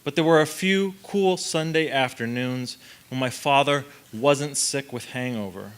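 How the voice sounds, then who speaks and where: Man reading aloud, medium pitch. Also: a little slow, smooth. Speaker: guest reader at a literary event. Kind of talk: poetry reading on stage. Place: theatre stage, indoors. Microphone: mic on a stand.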